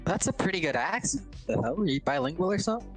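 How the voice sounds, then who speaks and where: A man casting a game tournament, chatty and quick to laugh. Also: on the high side, sing-song, over music. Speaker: caster. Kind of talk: casual stream chat.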